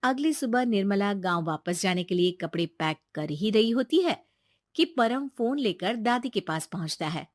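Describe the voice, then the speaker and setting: A woman's voice: mid-range voice, expressive, moderate pace, dry recording, studio quality. A woman narrating a scripted moral story as its storyteller.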